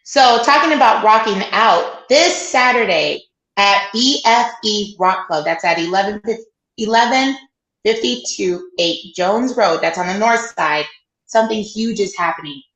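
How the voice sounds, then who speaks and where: A woman's voice: medium pitch, animated, measured pace, fairly bright tone. Chatty woman podcast host, echoey room. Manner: chatty, plugs events with loose asides. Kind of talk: casual sports podcast chat. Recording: roomy echoey sound.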